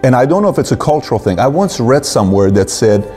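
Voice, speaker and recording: A man hosting a conversational podcast interview, sometimes halting and repeating words as he forms his thoughts. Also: mid-range voice, very animated, dark tone, soft background music. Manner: halting, repeats words while forming questions.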